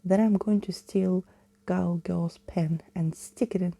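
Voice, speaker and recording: A woman's voice: lowish voice, fairly even intonation, unhurried, creaky, mellow. Woman whispering as a haughty, huffy little girl. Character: proud, huffy, scheming girl from a children's storybook. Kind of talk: whispery storybook reading.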